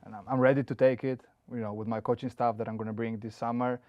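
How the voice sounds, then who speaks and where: A man's voice: medium pitch, level tone, fast talker, a little mellow, no room sound, muffled recording. A young man from Spain, answering interview questions as a head coach in a plain, earnest way with frequent "uh" and "you know". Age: young adult.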